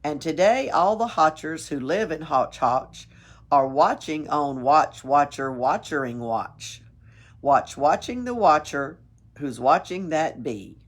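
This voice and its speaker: A woman reading a children's storybook aloud in an expressive, playful way, adding her own asides. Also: low voice, slow pace. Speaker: story reader at a library. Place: library.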